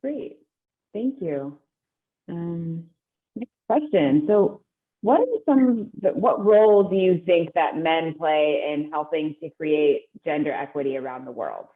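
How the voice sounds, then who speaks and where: A woman's voice: sits low, animated, measured pace. A woman interviewer reads her prepared questions in an upbeat, polite manner. Her voice comes through a narrow, tinny video call line.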